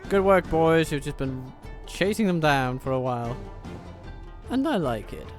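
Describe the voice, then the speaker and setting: A man's voice: on the high side, big pitch swings, a little slow, soft background music. A man whose first language is Danish, giving casual, wry commentary while playing a strategy game and laughing at his own jokes. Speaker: let's play host.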